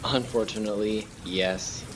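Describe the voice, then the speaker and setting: A man's voice: lowish voice, steady tone, measured pace, close to an everyday voice. Man acting puzzled young sidekick, rainy noise behind. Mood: sceptical, puzzled. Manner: hesitant, casual, questioning. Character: Johnny, puzzled, uneasy lighthouse companion, sceptical and plain-spoken. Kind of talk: student radio play acting. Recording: rain and thunder noise behind. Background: rain and thunder.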